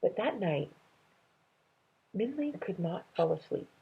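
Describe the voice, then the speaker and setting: A woman with a husky, creaky voice reads a story aloud to children. She takes her time and narrates expressively. The sound is thin and phone-like. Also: fairly low pitch, big pitch swings, unhurried. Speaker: read-aloud reader.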